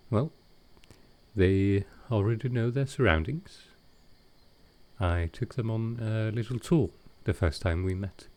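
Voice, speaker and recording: A man playing a gentle, awkward male vampire in an intimate comfort roleplay, speaking tenderly and haltingly. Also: fairly low pitch. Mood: shy, happy. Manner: halting, hesitant, tender, soft-spoken.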